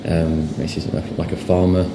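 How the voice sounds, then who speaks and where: A man's voice: low-pitched, normal speed, vocal fry. A young Englishman with a southern English accent, chatting casually as a guest in a bar interview. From England. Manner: relaxed, chatty, repeats words as he talks. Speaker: guest sign writer. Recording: echoey bar with loud noise.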